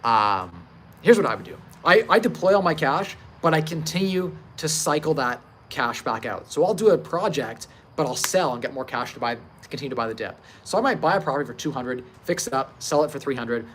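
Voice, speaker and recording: A thirty-year-old man hosting a casual livestream about investing, speaking informally, emphatically and confidently. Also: mid-range voice. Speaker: livestream host, real estate investor. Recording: clear steady background ambient noise.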